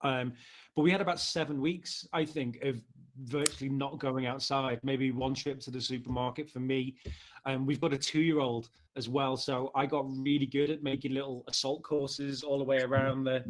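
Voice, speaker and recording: A man's voice: mid-range voice, level tone, moderate pace. Liverpool man chatting as an interview guest. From Liverpool, England. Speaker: interview guest, marketing director. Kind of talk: casual conversational interview.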